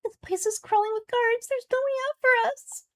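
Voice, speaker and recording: A woman voicing a small, excitable, childlike fairy companion from a game, squeaky and nervous. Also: moderate pace. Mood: alarmed. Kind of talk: game dialogue dub.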